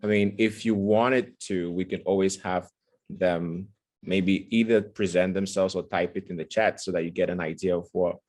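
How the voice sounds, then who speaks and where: A man's voice: sits low, steady tone, normal speed, a little mellow. A man from Cameroon opening a webinar as host, speaking hesitantly with many fillers. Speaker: host, student organizer. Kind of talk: webinar introduction. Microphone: video call.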